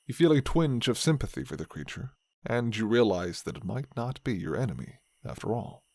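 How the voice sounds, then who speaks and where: A man narrating a scripted fantasy story in the second person, with measured, dramatic storytelling. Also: medium pitch, big pitch swings, gravelly, a little mellow, no echo, studio quality.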